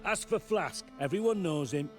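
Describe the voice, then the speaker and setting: A man's voice: on the high side, animated, normal speed, music in the background, close to an everyday voice. Man acting curt, surly fantasy sailor. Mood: scornful. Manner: curt, scornful. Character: surly, scornful male sailor in a port. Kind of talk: video game character acting.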